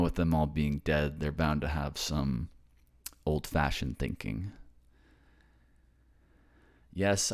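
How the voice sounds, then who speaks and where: A man's voice: extremely low pitch, a little mellow, full character voice. A man acting in an ASMR roleplay as an earnest, reassuring boyfriend raised by ghosts, with a hesitant manner. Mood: patient, explaining.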